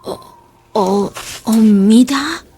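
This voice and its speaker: An actress playing a wary alien woman in a radio drama, speaking in terse, drawn-out words of an invented tongue. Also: a little high, warm tone, husky, soft background music, exaggerated voice. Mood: curious, solemn. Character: wary alien woman stranded far from her lost world.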